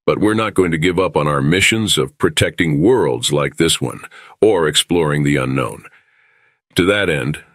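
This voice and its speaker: Starship captain, male actor: very low voice, composed, reasonable negotiator. Close, dry sound, audio drama delivery. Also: a bit bright, dry recording. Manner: measured, reasonable, conciliatory.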